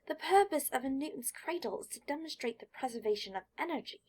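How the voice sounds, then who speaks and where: A woman voicing a precocious, indignant young boy with a pedantic manner that turns shrill when annoyed, in an audiobook story. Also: animated, fast, darkish tone.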